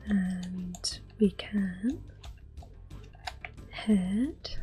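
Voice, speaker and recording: A woman whispering soft-spoken commentary while she plays a video game for an ASMR audience, close to the microphone. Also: medium pitch, drawn-out words, soft background music. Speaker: ASMR gaming host.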